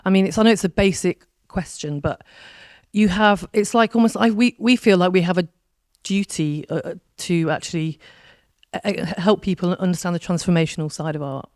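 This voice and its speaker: A woman in the audience asking a long question, hesitant and trailing off quietly. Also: lowish voice, normal speed, no echo, clean studio sound. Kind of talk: question from the floor. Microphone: handheld mic.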